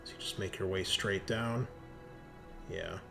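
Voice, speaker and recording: A man's voice: low voice, fairly even intonation, measured pace, gravelly. A man acting as game master, improvising narration for a tabletop horror roleplaying game in an informal way. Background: background music.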